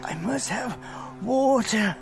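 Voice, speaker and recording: A man acting in a radio drama as an exhausted, bitter older professor turned thief, with formal, halting speech. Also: extremely high pitch, animated, creaky, over music, full character voice. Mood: desperate pleading. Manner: formal, educated wording, halting pleading.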